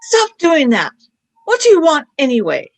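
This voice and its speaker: A woman acting a feisty, nervous young girl time traveler in a radio play, earnest and excitable. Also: high-pitched, moderate pace, heavily acted, poor audio. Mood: indignant.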